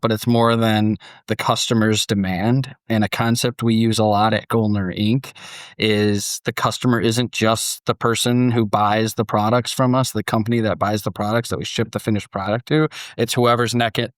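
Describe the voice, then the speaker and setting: A man's voice: medium pitch, no echo, clean studio sound. A man with an American accent hosting a podcast in a chatty, jokey, casual way. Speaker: podcast host.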